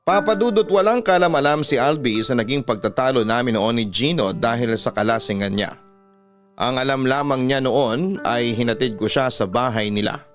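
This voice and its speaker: A man's voice: mid-range voice, normal speed, faint music behind. A man with a Filipino accent narrating a scripted story in classic radio storytelling style, as host reading a letter.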